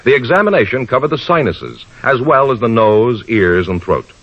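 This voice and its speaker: A man's voice: mid-range voice, sing-song. A male announcer with an American accent reads a formal, scripted 1950s radio advertisement. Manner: formal, measured phrasing. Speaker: commercial announcer. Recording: dull old radio recording.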